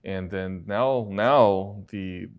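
Male physician host from China, lowish voice, hesitant, repeats words, curious. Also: drawn-out words, clean tone, muffled recording. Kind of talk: casual interview.

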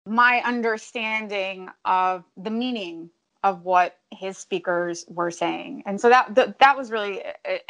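A woman translator answering interview questions in a measured, academic way, with frequent ums and restarts. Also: mid-range voice, fairly bright tone. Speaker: translator of ancient Greek.

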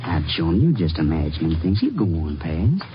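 A man's voice: very deep voice, big pitch swings, fairly quick. An actor playing an easygoing male college student in a 1950s radio drama, with a soothing, offhand manner. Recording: muffled old radio broadcast, music behind.

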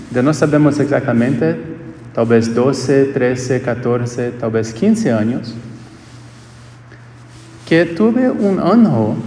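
Priest with Portuguese accent, echoey church. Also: male voice, mid-range voice, darkish tone. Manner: slow, searching phrasing with frequent restarts. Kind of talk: homily at a quinceañera Mass. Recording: echoey church with long reverb.

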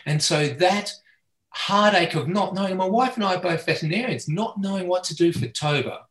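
A middle-aged Australian man speaks as a veterinarian guest, earnest and passionate, now and then restarting a phrase. His voice sits a little high. The recording has a noticeable room echo. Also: a little fast, a bit bright. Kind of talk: interview answers. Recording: echoey room.

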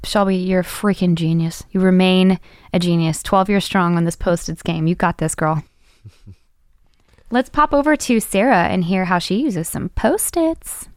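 A woman's voice: fairly low pitch, measured pace, a little mellow, dry recording, clean studio sound. Gushing, informal woman co-hosting a podcast. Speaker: podcast co-host. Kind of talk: casual enthusiastic chat.

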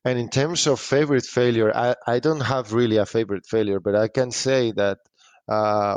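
A man answering interview questions as a startup founder, thinking aloud haltingly and repeating phrases. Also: lowish voice, no echo. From Spain.

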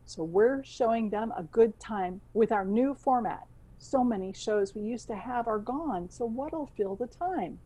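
An actress playing an earnest, persuasive radio station boss in an audio comedy. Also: female voice, fairly high pitch, expressive, unhurried, dark tone, breathy edge, low-quality recording. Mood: determined. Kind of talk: comedy audio drama acting.

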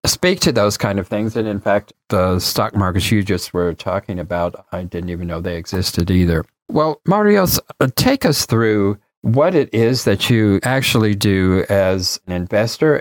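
A man's voice: sits low, no room sound. A man hosting an interview, reading a formal introduction and asking the guest questions. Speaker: podcast host.

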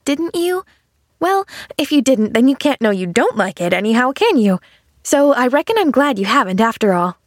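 Woman voicing an eager, high-pitched little girl. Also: big pitch swings, normal speed, dry recording, clean studio sound. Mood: hopeful, coaxing. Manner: eager, rambling, earnest. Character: cheerful, chatty, naive little girl, eager to please. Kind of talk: audiobook character dialogue.

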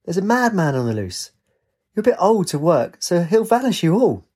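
Storybook raver lad, performed by a male narrator: slangy, exclaiming, upbeat, husky, lifted in pitch. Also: big pitch swings, moderate pace, mellow, full character voice. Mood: exasperated. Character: upbeat laddish raver with slangy banter, easily startled.

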